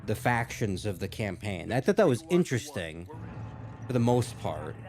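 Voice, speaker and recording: A man's voice: fairly low pitch, very animated, moderate pace, darkish tone. A man giving casual gaming reaction commentary, rambling and thinking aloud with some mild swearing.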